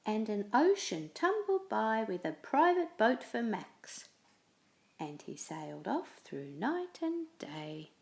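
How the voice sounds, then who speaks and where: A woman slowly reading a children's picture book aloud with an expressive, storytelling delivery. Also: vocal fry. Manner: slow, expressive reading with lively pitch.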